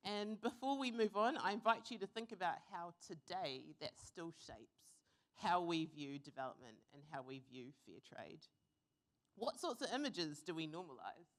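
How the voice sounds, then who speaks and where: A young woman from New Zealand giving an earnest, reflective conference talk, pausing with fillers now and then. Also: on the low side, expressive. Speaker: conference speaker. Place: conference hall.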